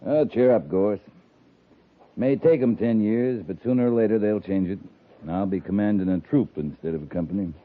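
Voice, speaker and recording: A man's voice: lowish voice, moderate pace, lightly acted. An American man playing a stern US cavalry captain in a 1950s radio drama, speaking with clipped authority. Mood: dry, easy authority. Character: stern, commanding US cavalry captain in frontier West. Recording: muffled 1950s radio recording.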